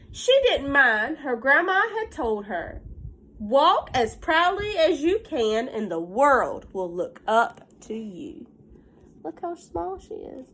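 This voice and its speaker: A woman with a fairly high voice speaks as an elementary teacher to young children. Her pace is unhurried, and she sounds slightly stuffed up. She reads aloud and explains in simple words, often checking that the listeners follow. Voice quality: congested from pollen.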